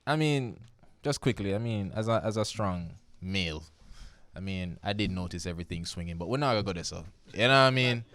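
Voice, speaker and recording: A Jamaican man hosts a casual radio talk show in a low, smooth voice. He talks in loose, repeating phrases and keeps checking that listeners follow him. His studio sound is dry and close. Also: animated, brisk, a bit bright, no room sound. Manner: repeats phrases, asks "you understand me?".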